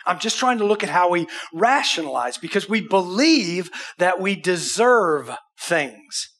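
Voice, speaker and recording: A man's voice: high voice, very animated, unhurried, fairly bright tone, husky. An American man in his sixties preaching a conversational, emphatic sermon as a pastor, with rhetorical questions. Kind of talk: conversational sermon with acted examples. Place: church auditorium stage.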